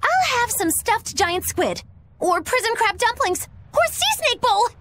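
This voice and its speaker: High, swooping, mocking witch. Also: sing-song, a little slow, bright, forceful tone, exaggerated voice. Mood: playful. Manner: mocking, theatrical, sardonic. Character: flippant, teasing, theatrical witch, greedy and droll. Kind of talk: English game voice acting.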